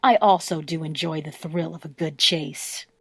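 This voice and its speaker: A woman's voice: low-pitched, moderate pace, fairly bright tone, breathy edge. A woman voicing a playfully cruel magical fox trickster in an audio drama, with a taunting, theatrical drawl. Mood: smug, teasing. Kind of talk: fan audio drama acting.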